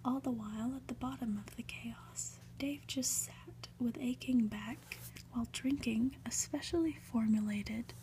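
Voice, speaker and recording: A woman reading a storybook aloud in a deliberate ASMR whisper. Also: a little high, mellow, slight background noise, low-quality recording. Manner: whispering, slow, deliberate delivery with occasional asides. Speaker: ASMR reader.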